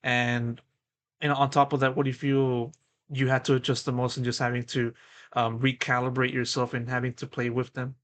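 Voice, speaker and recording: A man's voice: mid-range voice, level tone, a little fast, fairly bright tone. A man interviewing a pro player, asking long, wordy questions full of fillers.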